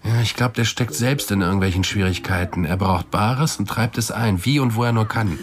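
An actor playing a chatty, cynical debt collector in a German radio drama, casual and wry, with chummy menace. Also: male voice, fairly low pitch, moderate pace, close to an everyday voice. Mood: coolly explaining. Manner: casual, wry, matter-of-fact about threats.

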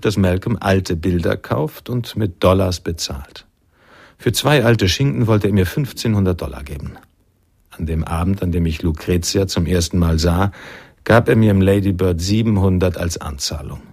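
An actor playing a reflective, nostalgic male narrator in a noir radio drama, speaking in a measured, literary manner. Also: low voice, lively intonation, creaky, darkish tone, slightly rough, no room sound, clean studio sound, full character voice. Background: jazz music.

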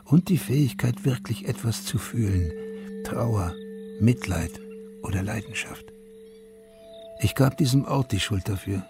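An actor playing a haunted middle-aged veteran and father, narrating his story in a radio drama in a subdued, measured, reflective way with a husky voice. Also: fairly low pitch. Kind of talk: literary first-person radio drama narration.

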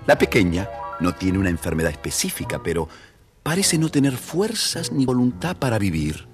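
A man narrates a children's story. The reading is scripted and expressive, with clear music playing behind it. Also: fairly low pitch, moderate pace.

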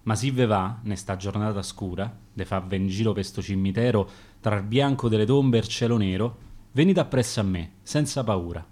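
A man's voice: lowish voice, a little mellow. Roman man reading dialect verse. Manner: measured storytelling, in the voice of a gravedigger. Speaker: narrator and author. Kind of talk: radio play reading of dialect sonnets.

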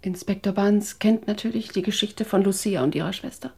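Husky, hurt, tired wife. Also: female voice, mid-range voice, fairly quick, mellow. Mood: guarded. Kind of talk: German radio drama acting.

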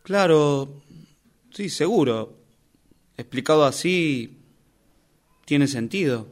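A man's voice: on the high side, expressive, slow pace. A man playing a distracted, evasive psychoanalyst in an amateur radio play, with a Rioplatense Argentine accent and a hesitant, placating, formal manner. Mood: feigned agreement. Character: distracted, evasive male psychoanalyst covering for himself. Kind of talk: amateur radio theatre acting.

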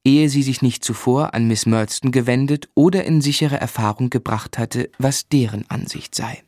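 A male actor narrating a radio play as a grown man looking back on his boyhood, in a measured, reflective storytelling manner. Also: mid-range voice, darkish tone, no room sound, natural voice.

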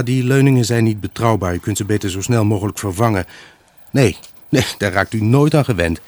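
An actor playing a wistful, evasive husband left alone, in a halting, pensive radio drama monologue. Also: male voice, lowish voice, darkish tone, breathy edge, no room sound. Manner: halting, pensive, addressing an unseen buyer. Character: wistful, evasive abandoned husband selling his house.

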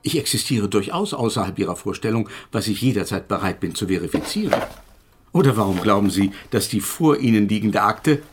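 An actor playing a self-important invisible gentleman scientist in a radio play, polite and urbane in manner. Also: on the low side, expressive, brisk, noisy background, lightly acted. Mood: insistent. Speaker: physics professor. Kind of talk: German radio play acting.

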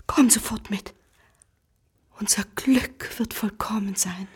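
An actress playing a devoted, long-suffering wife in a radio drama, pleading in a hushed, emotional way. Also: female voice, mid-range voice, takes it slowly, slightly rough, whispered. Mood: urgent, hopeful. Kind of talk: German radio drama acting.

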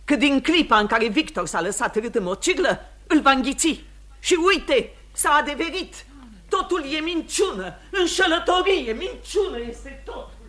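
A bitter, judging lady in a radio play. Her very high voice declaims indignantly, in an old theatrical manner. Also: expressive, fairly bright tone. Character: bitter, judgmental woman convinced of disgrace. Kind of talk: mid-century radio theatre acting.

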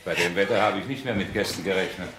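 A man's voice: deep voice, fast, natural voice. A man acting an obliging, chatty country innkeeper in a 1960s German radio play. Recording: roomy, noisy scene with ambience.

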